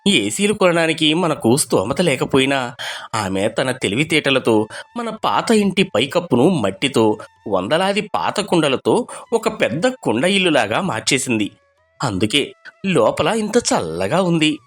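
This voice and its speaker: Man playing an animated, proud village elder brother. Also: on the high side, a little slow, faint music behind. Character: proud, loving eldest brother in a village family.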